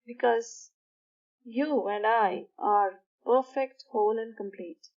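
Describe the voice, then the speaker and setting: A woman with a somewhat high voice leads a guided meditation for sleep. She speaks soothingly in short, measured phrases with pauses between them. The recording sounds a little dull and lo-fi. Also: darkish tone.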